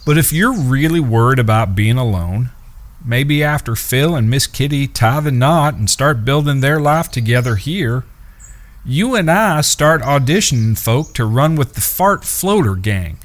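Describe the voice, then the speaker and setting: Man acting a wry, measured cowboy older brother. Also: mid-range voice, expressive, close to an everyday voice. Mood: reflective, affectionate. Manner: measured, folksy, teasing. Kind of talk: western audio drama acting.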